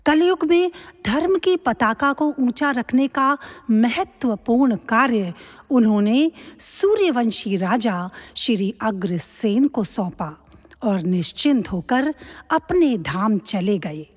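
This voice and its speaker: Slow, formal woman narrator. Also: on the high side, animated. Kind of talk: formal scripted mythological narration. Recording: long hall reverb.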